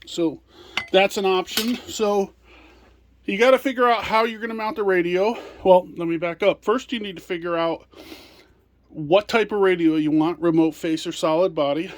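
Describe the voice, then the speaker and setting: Casting: male product presenter, notably high-pitched, informal hands-on walkthrough delivery. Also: steady tone, normal speed. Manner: hands-on explaining while handling items.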